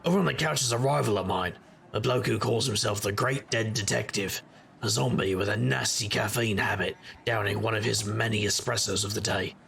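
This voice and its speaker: An actor playing a wry, sardonic supernatural private investigator who narrates in conversational noir style. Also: male voice, on the low side, brisk, vocal fry, fairly bright tone. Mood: wry, reflective. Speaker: narrator and lead character. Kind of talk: noir first-person scripted narration.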